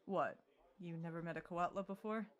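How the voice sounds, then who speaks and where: A woman's voice: on the low side, close to an everyday voice. A woman playing a wry, teasing winged adventurer in an audio roleplay, dry and nonchalant. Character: winged female Coatla adventurer, wry and unbothered tavern drinker. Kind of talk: audio roleplay drama acting. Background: music.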